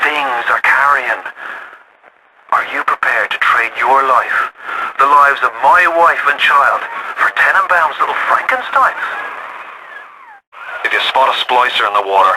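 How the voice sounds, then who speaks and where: An actor playing a gritty working-class Irish rebel who guides a newcomer over the radio in a video game, urgent, folksy and coaxing. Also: male voice, medium pitch. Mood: bitter, insistent. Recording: noisy lo-fi radio game clips.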